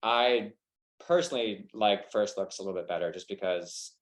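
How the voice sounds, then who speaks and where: Low-voiced Southern man, echoey room. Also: moderate pace. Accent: American Southern. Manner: relaxed, rambling, heavy use of "like". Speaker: wedding film photographer. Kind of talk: casual webinar conversation.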